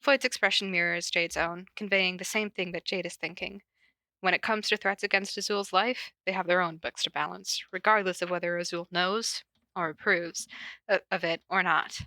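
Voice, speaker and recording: Woman, informal podcast reader and host. Bright, forward voice, dry close sound, giggly, slips easily between story and asides. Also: lowish voice, steady tone, normal speed, dry recording. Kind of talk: casual cold reading of fan fiction and chat. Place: corner of a basement at home.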